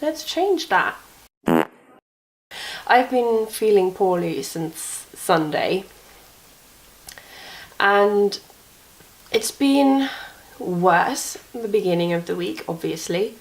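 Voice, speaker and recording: A woman's voice: medium pitch, lively intonation, slow, long pauses, poor audio. A young woman telling stories from bed in a chatty, wry, self-mocking way, sniffly and coughing from a cold. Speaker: vlogger. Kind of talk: casual storytelling vlog from bed.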